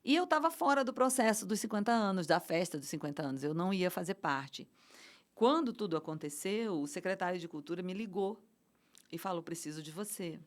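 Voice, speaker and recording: Brazilian woman guest, kinda low voice, passionate, lots of restarts and fillers. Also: animated, brisk. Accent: Brazilian Portuguese. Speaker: guest, event producer. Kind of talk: casual conversational interview.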